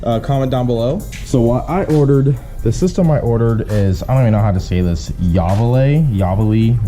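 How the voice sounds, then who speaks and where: A man's voice: medium pitch, moderate pace, mellow, soft background music. A man talking in a casual, laid-back, slangy way as a car vlogger explaining a hands-on job. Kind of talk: casual how-to chat.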